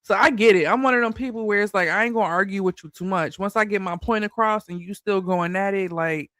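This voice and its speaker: Casual male commentator, high-pitched, slight lisp, light stutter, dry mic. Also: fast talker, a bit bright, no echo. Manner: stutters a little, loose and chatty. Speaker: commentary channel host.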